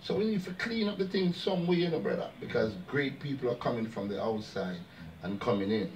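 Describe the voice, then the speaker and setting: A man's voice: mid-range voice, brisk, a little hiss or hum. A man hosting a casual interview, addressing the audience and using patois phrases. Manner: lively, uses patois phrases like "big up". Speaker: interview host. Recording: distant mic, faint ambience.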